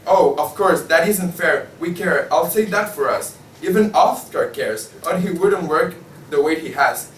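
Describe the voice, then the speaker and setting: A man's voice: fairly high pitch, a little slow, hardly any pauses, distant mic, close to an everyday voice. A man playing a jaded, disillusioned journal editor in a table read of a stage play, stumbling now and then over his lines. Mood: resigned. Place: indoor room, table read. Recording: echoey room from afar, faint noise.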